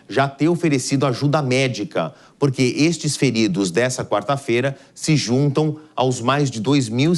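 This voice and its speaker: A man with a Brazilian accent giving live news analysis in a studio, explaining things step by step. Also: mid-range voice.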